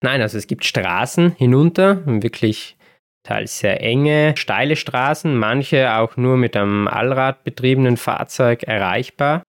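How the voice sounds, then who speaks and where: A man hosts a podcast, recounting his travels in a relaxed, chatty way. His voice sits at an ordinary middle pitch, and he pauses now and then with small hesitations. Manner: relaxed storytelling with occasional laughs and hesitations. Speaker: podcast host. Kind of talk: casual podcast chat.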